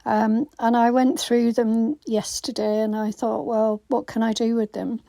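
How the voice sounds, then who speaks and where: Craft vlogger woman, croaky, mellow and slow, lots of ums, dry voiceover. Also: on the high side, little pitch movement, no room sound. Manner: hesitant, many ums and restarts. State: croaky voice after illness. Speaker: craft channel host. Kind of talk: casual voiceover for a craft video. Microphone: phone.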